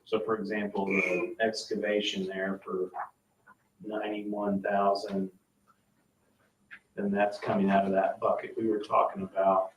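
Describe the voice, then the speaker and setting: A man's voice: fairly low pitch, monotone, measured pace, highs cut off. A man speaking as a board member in an informal meeting discussion. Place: indoor town board meeting room. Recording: distant, echoey, clearly noisy room recording.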